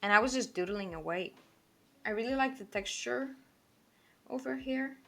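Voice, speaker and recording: A woman from Portugal with a Portuguese accent, speaking English as a non-native language, chatting casually and quickly while she draws on a livestream, full of "like" and self-corrections. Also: a little high, creaky. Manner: fast-talking, rambling, with frequent "like" and self-corrections. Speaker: artist streaming a drawing session.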